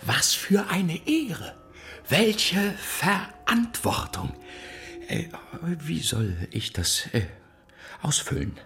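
An actor playing a flustered, pompous, gushing biscuit inventor in a children's audio drama, halting and florid in his wording. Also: fairly high pitch, huge pitch swings, gravelly, a bit bright, faint music behind, full character voice. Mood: flustered, self-important. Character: flustered, pompous male biscuit inventor, grateful and self-important.

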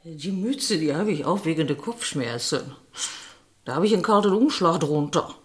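An actress in a radio drama playing a worn-out, middle-aged seamstress who is mistrustful and gossipy, with rambling colloquial speech. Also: female voice, low voice, animated, normal speed, breathy edge, soft background music. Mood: wary, plaintive.

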